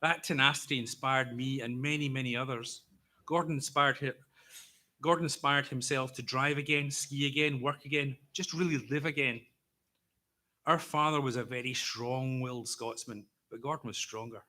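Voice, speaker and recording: Bright-voiced Scottish man, wry storyteller. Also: medium pitch, fairly even intonation. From Scotland. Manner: wry, gently humorous storytelling; deliberately slow, careful delivery. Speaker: eulogy speaker, brother of the deceased. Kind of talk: prepared memorial speech.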